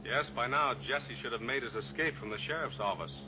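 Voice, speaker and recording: An actor playing a calm, assured rancher-pilot in a 1950s radio drama, steady and fatherly in manner. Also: medium pitch, normal speed, lightly acted, poor audio. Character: steady heroic rancher-pilot, adult man. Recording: old radio recording with music.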